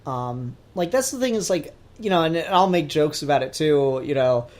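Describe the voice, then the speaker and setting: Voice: mid-range voice, animated, normal speed, a little mellow. Informal podcast co-host with a mid-range voice. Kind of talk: casual pop-culture discussion.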